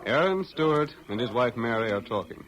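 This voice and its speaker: A man's voice: mid-range voice, expressive, fairly quick, a bit bright, low-quality recording. A man with an American accent narrating a vintage radio western in a dramatic, declamatory announcer style. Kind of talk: 1930s-40s radio scripted narration.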